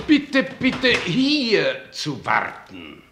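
A formal, flustered-sounding servant in a radio play. He speaks extremely slowly with hesitant hems, his pitch swinging very widely. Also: wildly swooping pitch, creaky, music in the background, full character voice. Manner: hemming, polite, hesitant. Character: stiff, hesitant, formal male office servant. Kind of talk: German radio drama acting.

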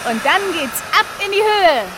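A plucky woman reporter who hosts adventures in a children's radio play. Her voice sits high, and she talks in a lively, chatty, theatrical way. There is a faint ambient noise behind her. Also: moderate pace. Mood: chatty, adventurous. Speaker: host of a children's audio series.

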